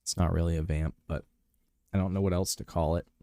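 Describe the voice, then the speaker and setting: A man streaming live and chatting casually while sick, clearing his throat, rambling with many fillers and restarts. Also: deep voice, steady tone, brisk, dark tone, dry recording.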